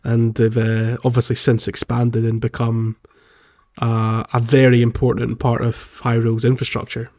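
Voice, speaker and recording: A man's voice: medium pitch, completely flat delivery, moderate pace, darkish tone, lo-fi sound. A man co-hosting a casual video game podcast, chatting in an amused way with frequent chuckles. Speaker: podcast co-host.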